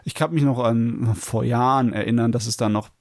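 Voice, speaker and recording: A gravelly-voiced man co-hosts a podcast in casual conversation. His pitch sits in the middle range, and the recording is dry, with no room sound. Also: steady tone, normal speed, a little mellow, no echo. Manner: chatty, laughs while telling stories. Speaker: podcast co-host. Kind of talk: casual chat.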